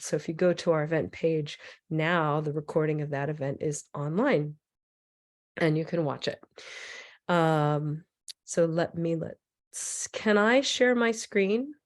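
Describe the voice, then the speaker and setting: Raspy woman, hoarse from a cold, lowish voice, rambly talk full of ums, video call mic. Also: unhurried. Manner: loose and rambling, with many fillers and restarts. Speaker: transit equity advocate presenter. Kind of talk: informal presentation on a video call. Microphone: computer mic on a video call.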